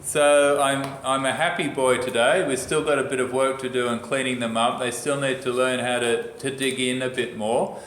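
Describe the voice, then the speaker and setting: A man running a group of young reporters, talking in a chatty, halting way with many fillers. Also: medium pitch, fairly even intonation, a bit bright, reverberant, slight background noise, low-quality recording. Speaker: organizer of a youth reporter program. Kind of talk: casual moderating.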